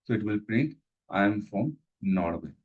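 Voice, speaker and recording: A man teaching programming, pausing often and repeating phrases with many fillers. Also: on the low side, expressive, a little mellow, husky, muffled recording. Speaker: instructor. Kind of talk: live coding lesson walkthrough.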